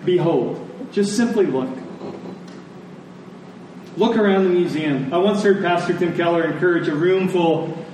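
A man preaching a sermon to a congregation, phrasing deliberately and emphatically and repeating lines for effect. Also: high-pitched, darkish tone. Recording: echoey room, distant mic, faint noise.

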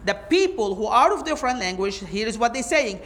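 High-voiced woman preaching emphatically. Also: fairly quick. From Egypt. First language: Arabic. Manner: emphatic, animated preaching with rhetorical questions. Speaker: Bible teacher. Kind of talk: sermon-like teaching talk.

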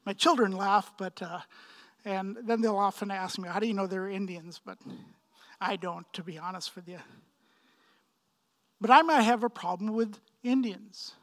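A man in his fifties from Pakistan preaching a sermon, with emphatic, deliberate phrasing and frequent repetition. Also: sits high, a bit bright. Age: 50s. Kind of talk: sermon, expository preaching with personal anecdotes.

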